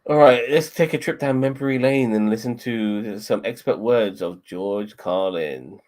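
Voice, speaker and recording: A man from hosting a podcast in casual chat, relaxed and halting. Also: lowish voice. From London. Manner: relaxed, halting, many restarts. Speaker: podcast host.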